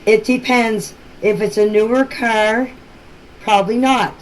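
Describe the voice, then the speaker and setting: A woman's voice: a little high, fairly even intonation, measured pace. A middle-aged or older woman speaking plainly and insistently in informal voice messages, often repeating herself. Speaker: local resident answering questions in a group chat. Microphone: voice messages recorded for a group chat. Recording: noisy amateur voice-message clips.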